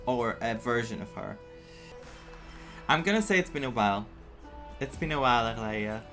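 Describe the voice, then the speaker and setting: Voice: mid-range voice, lively intonation, normal speed. A game streamer giving live reaction commentary, thinking aloud and getting emotionally invested in the story. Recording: game music behind the voice. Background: video game music.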